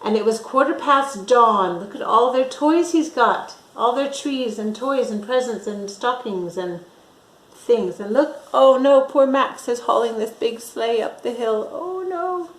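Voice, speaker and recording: A woman storytime reader with a slightly high voice, taking her time. She reads to young children with dramatic emphasis and chatty asides, in a noticeably echoey room. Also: expressive, unhurried.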